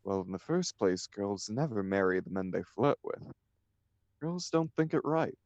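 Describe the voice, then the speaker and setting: A man's voice: lowish voice, expressive, normal speed, darkish tone, dry recording. A man reading the part of a flippant, idle upper-class bachelor in a stage comedy, with an arch, mock-serious declamatory manner. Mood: teasing, indignant.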